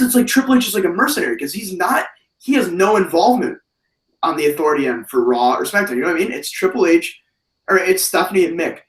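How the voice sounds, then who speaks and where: A man with a hoarse voice hosting a casual wrestling review, talking in an animated, rambling way with many fillers and restarts. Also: fairly high pitch, reverberant, far from the mic, poor audio. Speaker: wrestling podcast host.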